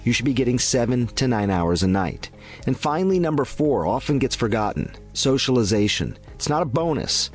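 Guy doing a calm scripted health spot on radio, faint music under it. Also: medium pitch. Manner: clear, measured explanatory delivery. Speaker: health segment presenter.

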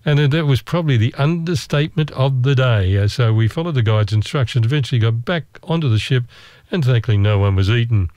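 A man's voice: mid-range voice, fast talker, a little mellow, no room sound. Man, storyteller, dry close sound, wry and self-mocking. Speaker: travel storyteller. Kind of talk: casual storytelling.

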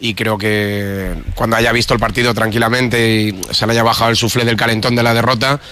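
A man's voice: on the low side, little pitch movement, brisk, bright, forceful tone, slight background noise. A man with a Spanish accent from Spain, an insistent panelist in a radio football debate who cuts in on others. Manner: argumentative, insistent, interrupting. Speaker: sports panelist.

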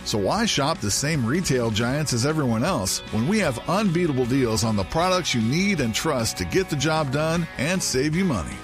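A man delivering an upbeat sales pitch as a radio ad voice-over. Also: very animated, moderate pace, hardly any pauses, music in the background.

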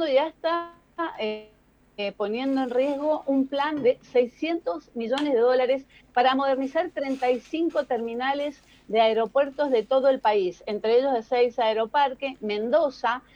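High-voiced Argentine woman columnist, dull sound. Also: measured pace, a little mellow. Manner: explanatory, addresses listeners directly. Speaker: radio or TV news columnist. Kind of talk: conversational news commentary.

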